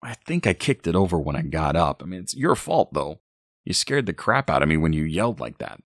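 Man acting a brusque, irritable husband, animated delivery. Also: sits low, brisk, no room sound, clean studio sound, exaggerated voice. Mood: annoyed, dismissive. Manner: brusque, scornful. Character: gruff, irritable, dismissive husband and father.

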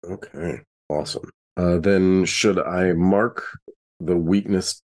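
A man with a very low voice plays a tabletop role-playing game. He talks informally and casually, working out his ideas aloud as he goes. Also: steady tone, takes it slowly, a little mellow.

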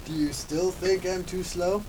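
A male performer voicing a polite, grumbling sloth, reading a little haltingly and mock-formally in an amateur radio comedy. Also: high voice, steady tone. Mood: mildly indignant. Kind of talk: amateur old-time radio comedy drama. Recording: distant mic with faint background noise.

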